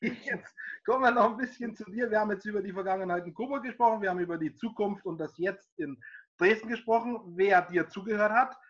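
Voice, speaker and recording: A man's voice: high-pitched, fast talker, a bit bright, dull audio. A middle-aged man, a sports reporter hosting an interview over a video call, asking questions in a friendly, chatty way.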